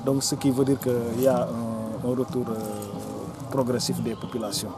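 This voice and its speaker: Senegalese guy being interviewed, medium pitch, stumbles and repeats words a lot, music in the background. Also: flat delivery, creaky. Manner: halting, repeats words while searching for phrasing. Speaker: local official or forum organiser. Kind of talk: interview answer recounting local history.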